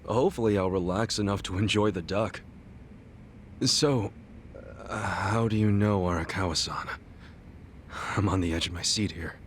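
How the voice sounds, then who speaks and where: A man's voice: low voice, moderate pace, long pauses, a little hiss or hum, natural voice. A man playing an earnest, hot-headed middle-aged yakuza hero with blunt, informal speech in a video game cutscene. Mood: casual.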